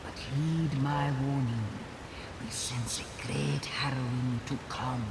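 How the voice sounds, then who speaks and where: Slow, echoing ancient spirit. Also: male voice, mid-range voice, steady tone, gravelly, very noisy. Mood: solemn concern. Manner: grave, measured, ominous. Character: solemn, ancient ancestral temple spirit. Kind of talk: dramatic video game voice acting. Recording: echoing voice in loud ghostly ambience.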